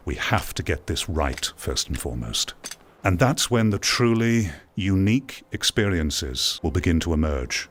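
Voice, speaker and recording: A man hosting a VR gaming stream, talking to his viewers in an earnest, emphatic way and repeating phrases for stress. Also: low-pitched. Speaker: VR games streamer and video host. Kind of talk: live game commentary talking to viewers.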